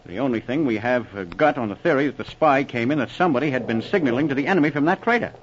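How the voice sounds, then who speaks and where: An actor playing a cautious, deferential young officer in a 1940s radio war drama, speaking a little hesitantly. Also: male voice, mid-range voice, lively intonation, quick pace, natural voice. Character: polite, cautious male army scout lieutenant. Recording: muffled, noisy old radio recording.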